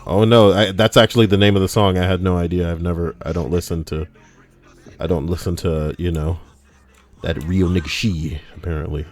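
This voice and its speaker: A man's voice: sits low, fairly even intonation, fairly quick. A man streaming an online game, joking crudely and rambling in casual banter with other players.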